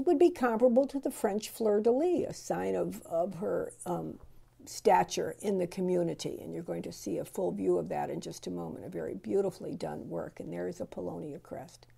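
A middle-aged woman narrates auction pieces in an admiring, unhurried way. Her voice has a heavy gravelly fry and a mellow, dark tone. She often pauses on ums and restarts her phrases. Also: on the low side. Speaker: auction host presenting antique dolls. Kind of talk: unscripted voice-over commentary.

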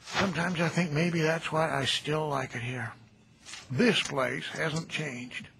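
An actor playing a kindly, folksy older cemetery caretaker in an audio drama, chatty and gentle in a homespun, nostalgic way. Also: male voice, on the high side, fairly even intonation, slow, vocal fry, background noise. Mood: wistful. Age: middle-aged. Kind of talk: naturalistic radio drama dialogue.

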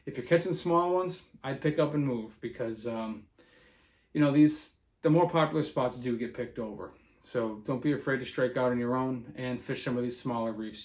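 A man in his thirties talks through a fishing forecast at medium pitch, loose and conversational with lots of "uh"s. His voice rings a bit in a roomy living room, picked up by a camera a few feet away. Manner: conversational, with frequent fillers. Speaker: fishing report host.

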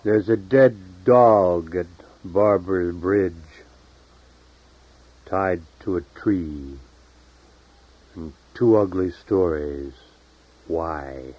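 Male poet, low voice, solemn deliberate delivery with long pauses, on a dull 1970s tape. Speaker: poet reading own work. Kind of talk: poetry reading, solemn and unhurried.

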